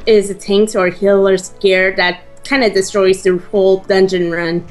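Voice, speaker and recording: A woman co-hosting a casual let's play, chatting in a hesitant, halting way as she explains things. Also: mid-range voice, level tone. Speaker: gaming co-host. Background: faint game music.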